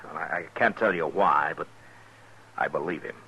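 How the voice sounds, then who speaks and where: An actor playing a steady, stern American frontier marshal in a 1950s western radio drama, plain-spoken and firm. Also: male voice, extremely low pitch, moderate pace, full character voice. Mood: hesitant, earnest. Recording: dull, noisy 1950s radio recording.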